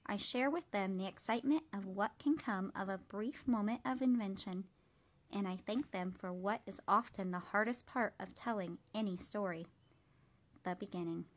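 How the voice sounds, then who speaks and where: A woman in her thirties reading a storybook aloud to young children like a kindergarten teacher, measured and expressive. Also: mid-range voice.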